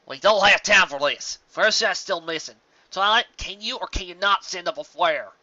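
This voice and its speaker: A reader voicing a steady, practical, folksy female farm pony in a fan fiction story. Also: mid-range voice, normal speed, dry recording, highs cut off, voice pushed up. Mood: urgent.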